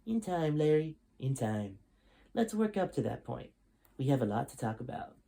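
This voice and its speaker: A man's voice: medium pitch, very animated, normal speed, creaky, dark tone. A man voicing a cold, smug military general in a storybook, with a condescending, commanding manner. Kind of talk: storybook character dialogue.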